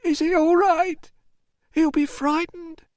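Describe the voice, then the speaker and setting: A man's voice: very high-pitched, moderate pace, no room sound, clean studio sound, pitched above the performer's own voice. A male narrator voicing a distraught, tearful young enslaved mother, wailing and bitter in an audiobook story. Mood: grieving. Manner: wailing, shrieking, accusatory. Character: distraught young enslaved mother, shrill, bitter, weeping over her son.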